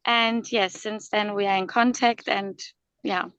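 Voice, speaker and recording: A woman's voice: mid-range voice, fairly even intonation, measured pace, fairly bright tone, clean tone, no room sound, highs cut off. A woman in her thirties, born in Slovakia and raised in Germany, speaking English as an on a video call, hesitant and laughing often. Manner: non-native phrasing, hesitant, laughs often. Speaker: guest, worldschooling mother. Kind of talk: casual video-call chat.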